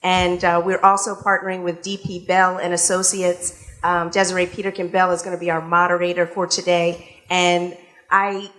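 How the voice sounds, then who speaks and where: A woman's voice: on the low side, little pitch movement, moderate pace, a bit bright. A woman opening a live panel event as host, speaking casually to an audience in a room, with frequent fillers and restarts. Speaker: radio station executive and event host.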